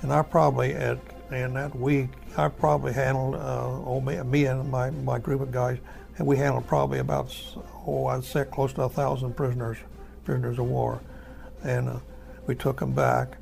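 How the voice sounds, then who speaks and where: Old american guy, war vet telling his memories, super gravelly, plainspoken, not much up and down. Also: mid-range voice, level tone, normal speed, darkish tone, low-quality recording. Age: elderly. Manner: plain, folksy, matter-of-fact. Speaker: war veteran. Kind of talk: oral history recollection. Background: music.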